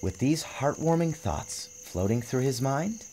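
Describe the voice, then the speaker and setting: A man giving a dramatic, expressive narration of a storybook, theatrical in his phrasing. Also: medium pitch, measured pace, a little hiss or hum. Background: music.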